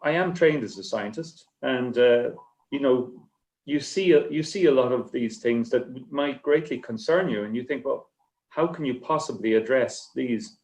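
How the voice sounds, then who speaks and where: A man answering as a writer on a literary panel, speaking haltingly with many repeated words and restarts. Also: mid-range voice, a little mellow. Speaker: short story writer on a festival panel. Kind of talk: rambling panel discussion answer. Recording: echoey, roomy sound.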